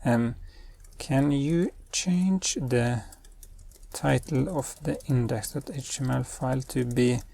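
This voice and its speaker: Slow, gravelly man. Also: mid-range voice, mellow, slight background noise. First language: Norwegian. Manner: thinks aloud while giving spoken commands. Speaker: web developer and channel host. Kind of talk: screen-recorded coding tutorial.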